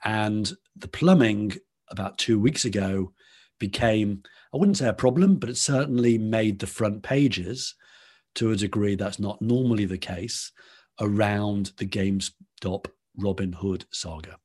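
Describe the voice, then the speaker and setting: A man's voice: fairly low pitch, measured pace, darkish tone, husky. A man with a Liverpool accent, a podcast guest explaining at length in conversation and often restarting his phrases. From Liverpool, England.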